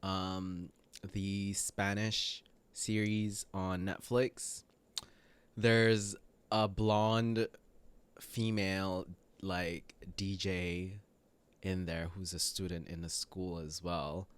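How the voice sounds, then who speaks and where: Male reaction host, low register, very unhurried delivery, casual and hesitant, thinking out loud. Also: level tone, smooth.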